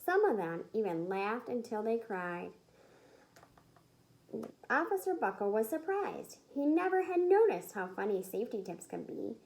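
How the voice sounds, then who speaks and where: A woman reading a children's picture book aloud in an expressive, emphatic storytelling manner. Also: fairly high pitch, unhurried, low-quality recording. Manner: animated, emphatic, acts out the dialogue.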